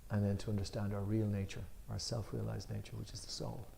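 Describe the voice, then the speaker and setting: Man, scripture class teacher, low-pitched, warm dark tone, emphatic reading, casual explaining. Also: fast talker, creaky, a little hiss or hum. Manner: reads with sudden loud emphasis on key words; relaxed chatty explaining with fillers. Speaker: Gita class speaker. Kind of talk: reading commentary aloud, then explaining informally. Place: temple class room.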